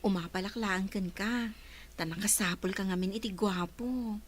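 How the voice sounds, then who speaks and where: A woman's voice: mid-range voice, moderate pace, lightly acted. A woman with an Ilocano Filipino accent playing a chatty, teasing friend of a bride in a radio comedy drama.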